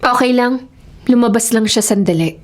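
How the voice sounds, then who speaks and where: A woman's voice: fairly high pitch, normal speed, lightly acted. A woman playing a vengeful, wounded schoolgirl painter in a radio horror drama. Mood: coolly sinister. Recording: loud dramatic music underneath.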